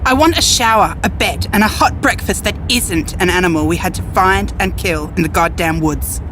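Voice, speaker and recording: A woman's voice: on the low side, moderate pace, over music, close to an everyday voice, poor audio. A woman playing a weary, sarcastic female survivor. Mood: fed up. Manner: sarcastic, grumbling. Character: Jackie, weary complaining female survivor in a plague-ridden America. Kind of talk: naturalistic audio drama dialogue.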